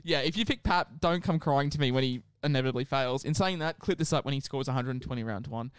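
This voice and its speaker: Blunt man, smooth dry voice. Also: mid-range voice, fast, dry recording. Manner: blunt, swears casually, opinionated. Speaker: podcast co-host. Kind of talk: casual sports chat.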